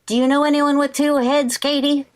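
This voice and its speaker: A woman playing an excitable, scheming, flighty housewife in a 1940s-style radio comedy, theatrical and full of exclamations. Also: high-pitched, normal speed, close to an everyday voice. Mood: flustered, earnest. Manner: theatrical, exclamatory, mock-innocent. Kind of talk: 1940s radio sitcom acting.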